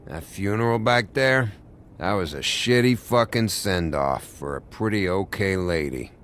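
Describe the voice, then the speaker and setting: A gravelly, bitter drunk barfly. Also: male voice, deep voice, expressive, a little slow, a bit bright, a little hiss or hum, heavily acted. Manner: belligerent, sarcastic, swearing drawl. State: intoxicated on painkillers. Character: bitter, drunk, foul-mouthed monster barfly in human guise. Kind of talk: dramatic video game acting.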